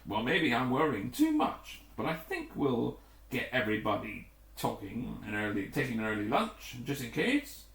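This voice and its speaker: A man voicing a careful male rescue commander in an adventure story, measured and authoritative with some hesitation. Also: medium pitch, wildly swooping pitch, creaky, fairly bright tone, distant mic, full character voice. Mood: mildly worried. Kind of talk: acted adventure story dialogue. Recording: echoey, roomy home recording.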